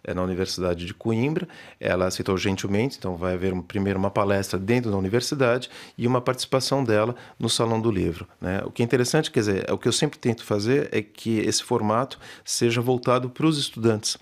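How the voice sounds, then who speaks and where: Man, low voice, professor, interview answers, measured, hesitant, restarts often. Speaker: literature professor and festival organizer.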